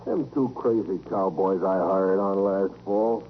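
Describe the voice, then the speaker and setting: A man's voice: low voice, measured pace. A man acting a good-natured, easygoing frontier rancher with a folksy rural American drawl in a 1950s radio western. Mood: mild, resigned. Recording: dull, hissy 1950s radio recording.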